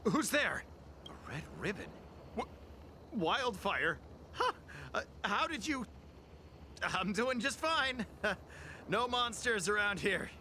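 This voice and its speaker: A man's voice: sits high, very animated, slow, pauses often, pushed and bright, slight background noise, heavily acted. An actor playing a nervous, cowardly young male thief in a video game, stammering and jittery. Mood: evasive.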